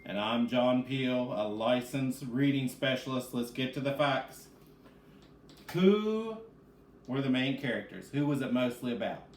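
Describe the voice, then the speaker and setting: A man's voice: mid-range voice, expressive, unhurried. Man reading to children in an echoey room. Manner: addresses children directly, asks and answers own questions. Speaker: reading specialist, teacher. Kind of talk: children's read-aloud and question-led lesson. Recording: echoey room with faint background noise.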